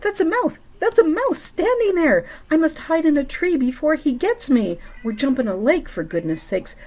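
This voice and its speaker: A jittery, sneezy big elephant , played by a woman in a raised, swooping voice, his lines bouncing through rhyme with real drama. The tone stays soft and mellow even as the pitch climbs high. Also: unhurried. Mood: panicked. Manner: sing-song rhyming, dramatic.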